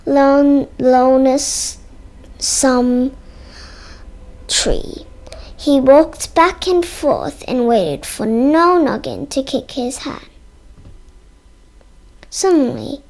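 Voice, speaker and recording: High-voiced, very slow storybook reader, female. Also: darkish tone. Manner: halting reading, stumbles over words and sounds them out. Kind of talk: read-aloud narration of a children's book.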